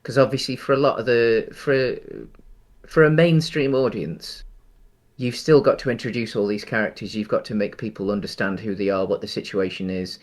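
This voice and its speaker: A man co-hosting a casual film discussion, speaking in a halting, hesitant way with frequent ums. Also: lowish voice, fairly even intonation. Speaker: podcast co-host.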